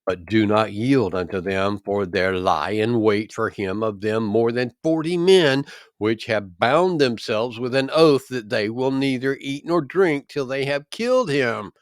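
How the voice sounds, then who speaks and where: A man reading scripture aloud in a solemn, measured and declamatory way. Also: mid-range voice, lively intonation, smooth, no echo, clean studio sound. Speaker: scripture reader.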